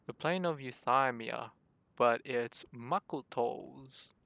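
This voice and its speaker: A man doing casual live gaming commentary as a streamer, reacting in an animated, easily exasperated way. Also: medium pitch, slow pace, gravelly, no echo.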